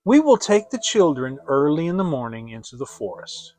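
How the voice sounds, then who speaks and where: A man's voice: on the high side, very animated, moderate pace, a little mellow, no echo, low-quality recording. Man playing a scornful, bossy fairy-tale stepmother. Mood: cold, scheming. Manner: commanding, emphatic, scolding.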